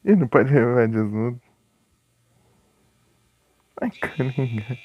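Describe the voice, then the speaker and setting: A man's voice: medium pitch, animated, slow pace, no echo, dull audio. A man with a Brazilian accent giving casual, chatty live commentary as a video game streamer, thinking aloud informally.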